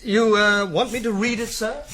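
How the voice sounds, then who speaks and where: High-pitched droll manservant. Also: male voice, steady tone, moderate pace, bright, forceful tone, slight background noise. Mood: droll, deferential. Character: familiar, deferential, amused soldier-servant to a general. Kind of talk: radio drama acting.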